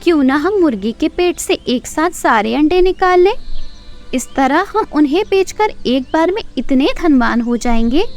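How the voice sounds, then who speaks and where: A woman's voice: high voice, expressive, normal speed, a little mellow, soft background music, voice pushed up. A woman voicing a greedy farmer's wife who eagerly pushes a scheme, in a storybook reading.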